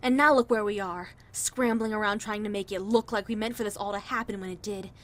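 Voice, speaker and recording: A woman's voice: mid-range voice, fairly even intonation, fairly quick, close to an everyday voice. A woman playing a cold, bossy conspirator who scolds and commands her underlings in a condescending way. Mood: exasperated, scolding. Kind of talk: dramatic voice acting in audio drama.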